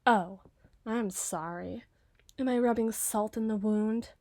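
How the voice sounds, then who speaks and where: Woman voicing a sarcastic obsessive female detective, young adult, teasing, morbid, ASMR roleplay, mid-pitched voice. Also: takes it slowly, mellow. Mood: sardonic.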